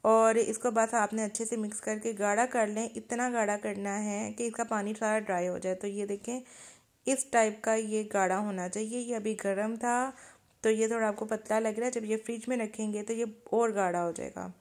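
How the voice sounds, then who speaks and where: A woman's voice: medium pitch, fairly even intonation, normal speed, darkish tone. A woman with a Pakistani Urdu accent, narrating a home recipe step by step as a cooking channel host. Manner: plain step-by-step instructions with kitchen tips.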